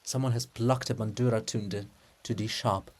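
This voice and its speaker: A person giving a dramatic reading of a play in a theatrical, deliberate manner, phrase by phrase. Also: medium pitch, fairly even intonation, a little mellow. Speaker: reader of a play.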